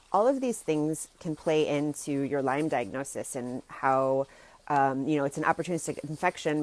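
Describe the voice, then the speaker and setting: A woman speaks in a low-pitched voice. She presents like a health coach on an informal live video, explaining things in a plain-spoken, instructive way. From Germany and the United States. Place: outdoors, sitting outside.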